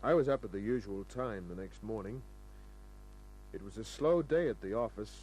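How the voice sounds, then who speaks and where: A man's voice: on the low side, expressive, moderate pace, darkish tone, soft background music, lightly acted. An Australian man acting a wry, tough, flirtatious private investigator in a laconic 1950s radio crime drama style. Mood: matter-of-fact narrating. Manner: laconic, wisecracking. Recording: noisy old radio recording with hum.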